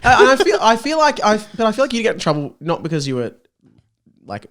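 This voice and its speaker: A man's voice: high voice, huge pitch swings, fast talker, a bit bright. A man hosting a podcast, chatting casually and reading aloud from a story in a self-mocking way, often breaking into laughter. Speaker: podcast host. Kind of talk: casual comedy chat, reading aloud his own old fan fiction.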